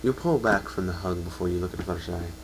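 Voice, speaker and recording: Low-voiced man narrating a dramatic reading. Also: fast, noisy background. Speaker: fan fiction reader. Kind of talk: dramatic scripted narration in second person.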